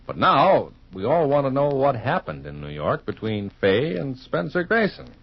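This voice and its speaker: Animated, husky 1950s radio announcer man. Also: medium pitch, moderate pace. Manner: emphatic, folksy, polished salesmanship. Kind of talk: 1950s radio announcing, scripted pitch and narration. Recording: muffled vintage radio recording.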